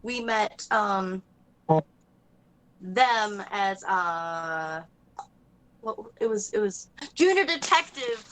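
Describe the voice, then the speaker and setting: A woman's voice: mid-range voice, lively intonation, very slow, pauses often, a bit bright, a little hiss or hum, rough recording. A bubbly young woman cosplayer chatting on a podcast, gushing and filling every sentence with "like". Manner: bubbly, gushing, fills speech with "like". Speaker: cosplayer guest. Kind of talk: casual podcast chat.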